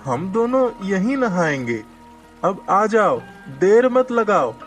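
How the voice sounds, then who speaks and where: A man's voice: sits high, sing-song, takes it slowly, pitched above the performer's own voice, full character voice. A man voicing a protective, slightly bossy boy, the older brother in a children's cartoon story. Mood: inviting. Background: music.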